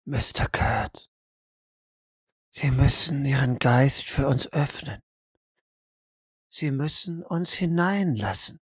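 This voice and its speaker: A woman's voice: very deep voice, takes it slowly, pauses often, breathy edge, no room sound, clean studio sound, exaggerated voice. A woman acting in an audio drama as a stern, insistent interrogator who speaks formally and presses hard. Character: stern, insistent female interrogator probing a prisoner's mind.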